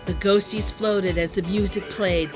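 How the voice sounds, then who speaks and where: A woman reading a children's picture book aloud in an animated, sing-song storytelling manner. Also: medium pitch, measured pace. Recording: music playing behind the voice. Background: music.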